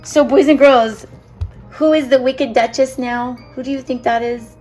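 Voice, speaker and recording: A woman's voice: high-pitched, steady tone, normal speed, darkish tone, faint music behind, poor audio. A woman teacher reading a picture book aloud to children, chatting and asking them questions about the pages. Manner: chatty, asks the children questions and laughs along.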